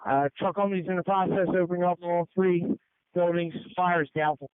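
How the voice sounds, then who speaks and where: A man's voice: high-pitched, fairly even intonation, a little fast, clear, resonant tone, no echo. A man commanding a fire scene over two-way radio, giving terse orders and status reports. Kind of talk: fireground radio traffic. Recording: phone-like narrowband radio transmission.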